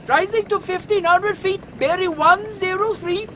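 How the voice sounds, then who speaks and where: A man playing a gruff, no-nonsense helmsman in a steampunk audio comedy, talking in clipped, businesslike phrases. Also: very high voice, moderate pace. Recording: loud airship engine noise behind.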